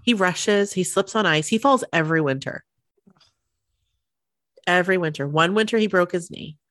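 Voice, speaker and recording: A woman in her thirties co-hosting a podcast, telling stories in relaxed casual chat with lots of fillers, a bit sniffly from the cold. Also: lowish voice, moderate pace, no room sound, clean studio sound. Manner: relaxed storytelling with many fillers. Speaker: podcast co-host.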